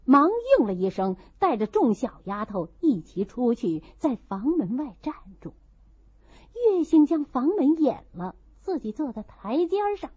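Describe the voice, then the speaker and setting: Female audiobook narrator: unhurried, mellow delivery, slightly dull recording. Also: on the high side, husky. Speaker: audiobook reader. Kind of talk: expressive storybook narration of a classic novel.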